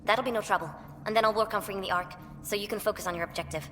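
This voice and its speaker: A woman's voice: medium pitch, racing, fairly bright tone, close to an everyday voice. A woman voicing a proud, troubled female alien leader in a video game. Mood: resolved. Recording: reverberant hall with faint noise.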